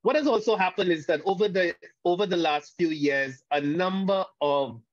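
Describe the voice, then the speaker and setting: A man from Saint Lucia gives a panel talk over a video call, halting, with frequent restarts and fillers. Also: high-pitched, no echo. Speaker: guest speaker. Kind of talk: online panel presentation. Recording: dull lo-fi video call audio.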